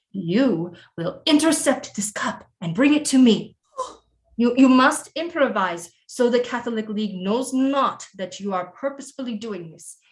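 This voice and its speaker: A woman acting as a commanding, scheming Renaissance queen mother in an audio drama, with formal, deliberate delivery. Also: mid-range voice, lightly acted, rough recording. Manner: imperious, formal, deliberate diction.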